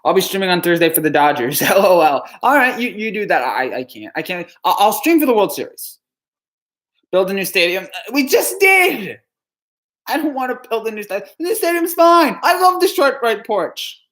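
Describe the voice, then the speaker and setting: A man from New Jersey on a live stream, answering chat questions in a halting, dejected way, with frequent stammered restarts and sighs. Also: high-pitched, sing-song, fairly bright tone.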